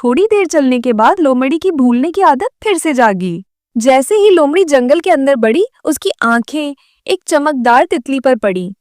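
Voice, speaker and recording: A woman's voice: high voice, animated, normal speed, darkish tone, no echo, studio quality. A woman narrating a scripted children's cartoon story.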